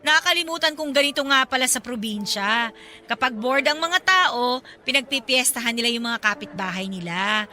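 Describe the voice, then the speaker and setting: A woman's voice: fairly high pitch, animated, moderate pace, pushed and bright. An actress playing a defensive young single mother in a radio drama, answering with sarcastic, indignant retorts. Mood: biting. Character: defensive young single mother home from Manila, sharp-tongued. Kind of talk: Tagalog radio drama acting. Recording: background music under dialogue.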